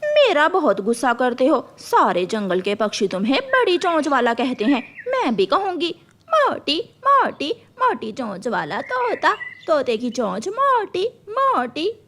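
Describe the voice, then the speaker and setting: Woman as a taunting, high-pitched cartoon lady crow. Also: wildly swooping pitch, a little slow, a bit bright, slight background noise, full character voice. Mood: mocking. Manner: taunting, sing-song. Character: mocking, teasing female crow in a cartoon.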